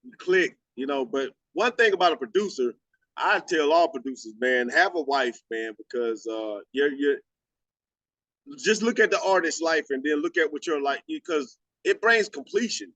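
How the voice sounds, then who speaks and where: A man's voice: a little high, expressive, normal speed, a bit bright, no room sound, low-quality recording. A man in his forties hosting a radio show, chatting loosely, joking and swearing freely. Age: 40s. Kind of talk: casual interview chat.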